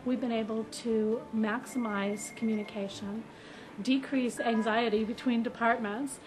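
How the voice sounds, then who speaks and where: A woman's voice: a little high, fairly even intonation, measured pace. A woman who works in a hospital, explaining things in a testimonial interview. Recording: clearly noisy hospital background.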